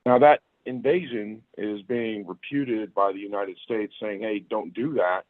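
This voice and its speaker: Raspy-throated man on a tinny phone line. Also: on the low side, steady tone, unhurried, no echo. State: sore throat. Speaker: guest, retired army sergeant. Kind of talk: casual interview talk.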